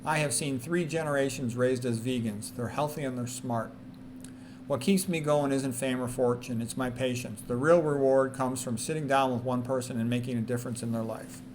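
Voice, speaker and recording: A man with a medium-pitched voice presents informally, reading quotations aloud and following them with his own commentary. He is emphatic and tends to repeat key statements. There is some noise behind the voice. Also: steady tone, moderate pace, a little mellow. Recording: noticeable background noise.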